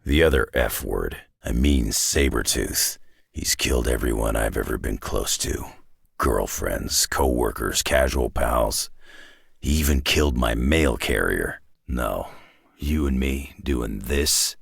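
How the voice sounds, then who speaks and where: A man voicing a gruff, surly middle-aged superhero in a video game, raspy and sardonic with clipped folksy tough talk in a North American accent. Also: a bass voice, slow pace, dry recording, clean studio sound, exaggerated voice. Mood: grim, wry. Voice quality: gravelly, raspy. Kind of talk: video game character voice lines.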